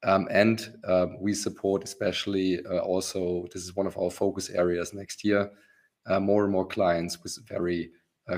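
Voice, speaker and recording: A hoarse man hosting a business webinar, talking with many pauses, ums and restarts. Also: low-pitched, darkish tone. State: rough, hoarse voice. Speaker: webinar host, company founder.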